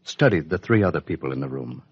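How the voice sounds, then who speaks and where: A man narrating a 1950s radio serial from a script in a measured, suspenseful manner with a husky voice. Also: very deep voice, lively intonation, no echo. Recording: dull, dry 1950s radio recording.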